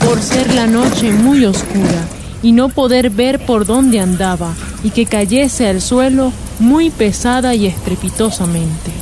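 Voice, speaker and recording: A woman's voice: a little high, slow, few pauses. A woman reading scripted radionovela narration in a theatrical, suspenseful storytelling manner. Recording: loud music under the voice. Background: loud background music.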